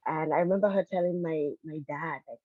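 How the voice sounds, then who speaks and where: A woman's voice: low-pitched, a little fast, no echo, phone-quality sound. A woman professor from Nigeria, telling her story conversationally as an interview guest. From Lagos, Nigeria. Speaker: college professor, podcast guest.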